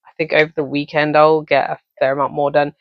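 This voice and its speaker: A young adult with an English accent talks through a casual crochet vlog, wandering between thoughts, filling gaps with ums and correcting themselves mid-sentence. The voice sits in a middling pitch. The recording is muffled and close, with no room echo. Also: level tone, fast talker. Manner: rambling, chatty, many ums and self-corrections. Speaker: crochet vlogger. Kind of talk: casual chat to camera. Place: home office. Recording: dull, dry recording.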